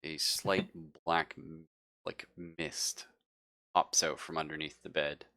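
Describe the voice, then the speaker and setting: A man with a low, bright, forward voice works as game master. He describes scenes off the cuff in informal, halting phrases, thinking aloud as he goes. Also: steady tone. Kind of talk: improvised narration of a tabletop roleplaying game.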